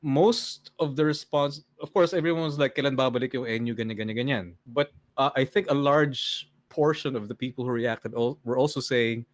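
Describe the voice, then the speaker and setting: An older man with a Filipino accent, a podcast guest talking in a deadpan way and mixing English with Tagalog in casual chat on a video call. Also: mid-range voice, fairly quick, few pauses, no room sound. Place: home room on a video call.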